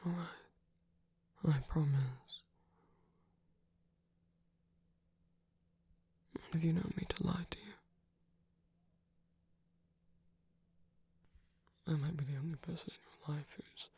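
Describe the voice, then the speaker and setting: Someone doing a soft villain for romantic asmr, super husky n breathy, lots of fry, gentle and reassuring. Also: mid-range voice, a little fast, no room sound. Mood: earnest, reassuring. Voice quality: breathy, husky, often whispering, creaky. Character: soft-spoken villain, tender and protective toward abandoned hero. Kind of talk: intimate romantic ASMR roleplay.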